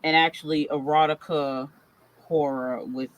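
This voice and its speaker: A woman's voice: low voice, steady tone, measured pace, low-quality recording. A woman horror author on a panel, talking in an eager, rambling way with lots of fillers. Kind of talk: casual panel discussion.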